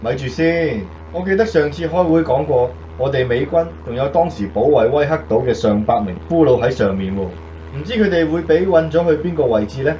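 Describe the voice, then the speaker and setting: A man's voice: a little high, expressive, a little fast, dark tone, slight background noise, lightly acted. Man as concerned airman, distant and muffled. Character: concerned, questioning male bomber crewman. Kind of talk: Cantonese radio drama acting.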